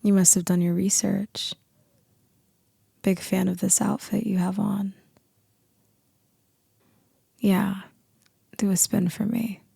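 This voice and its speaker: A low, very gravelly female boss acted by a woman in an ASMR roleplay. She is dominant, seductive and teasing, speaking slowly and deliberately. Her voice is breathy and often sinks into a whisper, very close and dry. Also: flat delivery, mellow, dry recording, full character voice. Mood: commanding, flirtatious. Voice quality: deep, husky, breathy voice. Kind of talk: ASMR roleplay, slow intimate acting.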